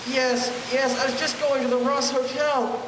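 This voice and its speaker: A male actor plays a frightened, exhausted boy of fifteen in an audio drama. His voice is very high and pleading, sounding distant in a long, hissy echo. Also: level tone, moderate pace. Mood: desperate, pleading. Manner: pleading, desperate. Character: frightened, exhausted fifteen-year-old boy trapped in a well. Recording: echoey, distant, with hiss.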